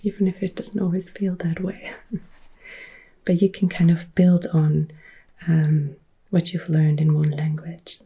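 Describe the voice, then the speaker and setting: A woman in her thirties from Upper Austria with an Austrian German accent, softly whispering and quietly answering viewer questions as an ASMR host. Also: on the low side, flat delivery, unhurried, husky. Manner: soft whispering, mixed with quiet speech. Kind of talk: ASMR Q&A chat. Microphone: close-up sensitive ASMR mic.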